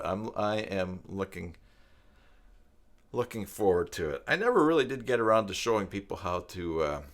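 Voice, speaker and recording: Low-pitched man rambling through a tutorial. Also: a bit bright. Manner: rambling, thinking aloud while demonstrating. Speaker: live stream host and web tutorial instructor. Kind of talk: casual live screen-share tutorial with chat.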